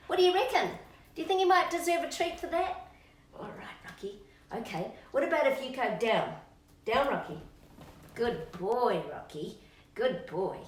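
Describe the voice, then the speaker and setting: A middle-aged Australian woman chatting to children and a pet in a playful, coaxing storytime manner, with sing-song questions. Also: high-pitched. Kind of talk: chatty children's storytime, talking to kids and her dog. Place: indoors at home, on a couch. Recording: roomy echo, distant microphone.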